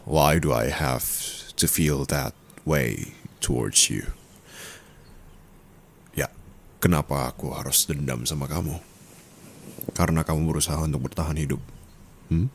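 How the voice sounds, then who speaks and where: A man with an Indonesian accent playing a dominant, teasing, possessive rich husband in an intimate ASMR roleplay, speaking softly and slipping in English endearments. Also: rumbling low, full character voice. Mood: calm, possessive. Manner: soft, slow, mixes in English endearments.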